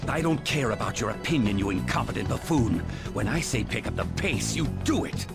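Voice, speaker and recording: A man's voice: on the low side, animated, a little fast, gravelly. A man playing an arrogant, domineering middle-aged big shot in a video game, haughty, commanding and contemptuous. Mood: commanding, scornful. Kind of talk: English video game voice acting. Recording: loud music behind the voice.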